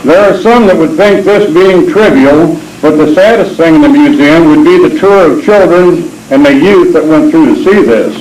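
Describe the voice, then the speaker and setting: Hoarse older man in echoey hall. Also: fairly high pitch, darkish tone, slight background noise. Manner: folksy, earnest, reads haltingly with throat clearing. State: hoarse with a cold. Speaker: veterans' post speaker. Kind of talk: reading a prepared patriotic speech. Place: reunion hall with echo. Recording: echoey hall amateur recording.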